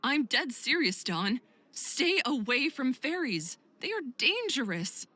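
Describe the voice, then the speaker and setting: A woman's voice: high voice, sing-song, slow pace, sharp tone, exaggerated voice. A woman playing a protective, serious friend and mentor in a visual novel game, speaking in an earnest, admonishing way. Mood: stern warning.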